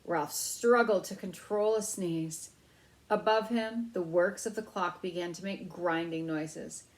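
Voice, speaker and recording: A woman's voice: fairly low pitch, steady tone, unhurried, rough recording. A woman storyteller reading a children's novel aloud.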